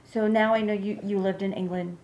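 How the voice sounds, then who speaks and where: A woman's voice: mid-range voice, steady tone, fairly quick, a little mellow. A woman hosting an interview, asking probing questions with fillers like "you know" and "I mean". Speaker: interview host. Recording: echoey room with faint noise.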